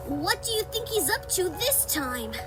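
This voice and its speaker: Woman plays an eager kid fox genius, extremely high and animated, cartoon dub with music. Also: measured pace, full character voice. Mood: eager, inquisitive. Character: brainy, eager little boy fox sidekick. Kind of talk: cartoon comic dub acting.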